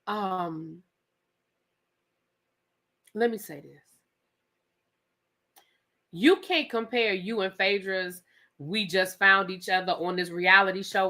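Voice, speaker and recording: A woman hosting a live TV recap, telling the story casually and acting out the quotes, with some swearing. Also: mid-range voice. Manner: animated storytelling, acts out quotes; profane, conversational. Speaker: TV show reviewer.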